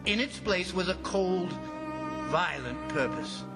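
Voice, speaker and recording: A man playing an anxious commissioner in an old-time radio drama, telling of horror in a dramatic, suspenseful and deliberate way. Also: high voice, expressive, heavily acted. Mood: grim dread. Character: horrified, anxious commissioner recounting an ant invasion. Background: loud dramatic music.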